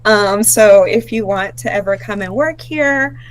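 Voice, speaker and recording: A woman from Brownsville, Texas, speaking on a video call as a worker-owner of a community film center, relaxed and full of fillers. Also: mid-range voice, measured pace. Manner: relaxed, many fillers like um and you know. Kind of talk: casual info-session presentation.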